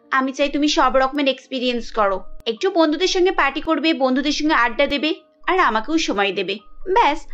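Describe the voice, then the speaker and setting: A woman's voice: high voice, a bit bright, lo-fi sound. A woman voicing a young Bengali housewife in a family cartoon, with an emphatic, reproachful way of talking. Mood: persuasive, insistent. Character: young Bengali housewife Kajal, dutiful but quick to sulk and scold.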